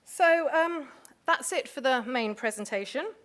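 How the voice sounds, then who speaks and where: A woman with a fairly high voice presents an awards ceremony from the stage. She talks in a chatty way, with frequent asides and fillers. Her voice comes through a microphone in a large hotel function room. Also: animated, measured pace, fairly bright tone. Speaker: awards host.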